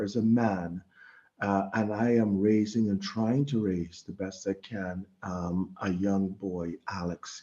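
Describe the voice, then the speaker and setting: A middle-aged man from the Bahamas giving an informal religious talk online, speaking haltingly and often repeating words as he goes. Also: fairly low pitch, warm tone, lo-fi sound. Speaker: guest presenter. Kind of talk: informal religious lecture over an online meeting.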